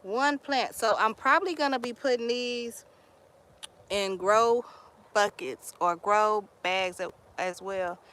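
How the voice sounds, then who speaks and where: A woman's voice: mid-range voice, slow pace, a bit bright. A young woman with a Texan accent, chatting casually on a live stream from her garden, greeting viewers and telling stories in Southern slang. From Texas, US. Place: outdoor garden.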